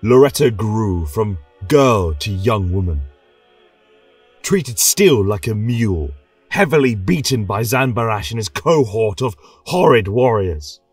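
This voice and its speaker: A man's voice: on the low side, expressive, a little slow, slightly rough, dry recording, recorded from a distance, soft background music. A man narrating a scripted tale as a religious chronicler, in a solemn, reverent, theatrical style. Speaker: storyteller and podcast host.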